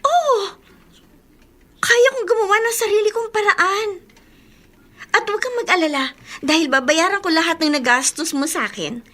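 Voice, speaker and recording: A woman's voice: very high-pitched, moderate pace, pauses often, sharp tone. A woman playing a proud, resentful young student in a Tagalog radio drama, with an emotional, accusing delivery. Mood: cold, resolute.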